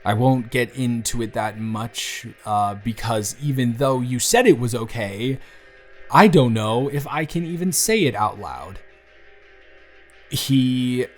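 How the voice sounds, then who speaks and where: Lovestruck young male best friend, played by a man for audio roleplay: mid-pitched, sincere, halting, natural delivery, light music bed. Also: natural voice. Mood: upset, reluctant. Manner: halting, earnest, conversational. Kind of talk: intimate audio roleplay acting, one side of a conversation. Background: background music.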